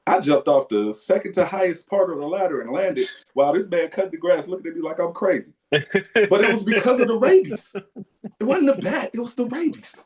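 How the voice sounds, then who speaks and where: Male podcast co-host, casual storyteller, lively and profane. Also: on the high side. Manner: animated, profane, loud yelps when acting out.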